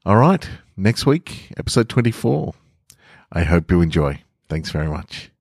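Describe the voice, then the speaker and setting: A man's voice: deep voice, very animated, normal speed, a little mellow, dry recording. A man who hosts a podcast and reads short stories aloud, speaking into a close mic. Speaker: podcast host and story reader. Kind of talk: narrated short fiction and casual host outro.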